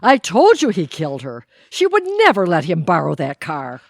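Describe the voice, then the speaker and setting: A woman's voice: low-pitched, wildly swooping pitch, normal speed, creaky, dry recording, clean studio sound, heavily acted. A woman playing a nosy, suspicious neighbour with a gossipy, dramatic delivery in an audio theatre comedy.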